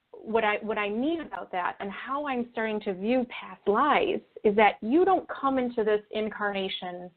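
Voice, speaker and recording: Earnest woman on a tinny phone line. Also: medium pitch. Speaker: interview guest. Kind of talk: conversational explaining in an interview. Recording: tinny narrow-band phone or call line.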